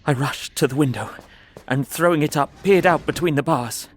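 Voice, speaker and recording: A man's voice: on the high side, moderate pace, natural voice. A man playing a frightened, despairing young prisoner who narrates his journal earnestly and intensely in an audio drama. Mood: horrified.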